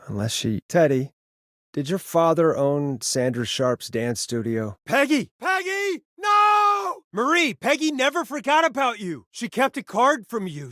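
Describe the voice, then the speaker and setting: A man playing an earnest, rattled radio host in a video game, speaking in a halting, conversational way as he reasons with a killer. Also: a little high, fairly bright tone, dry recording, clean studio sound. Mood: panicked, desperate. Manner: halting, stammering, conversational delivery. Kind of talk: dramatic video game voice acting.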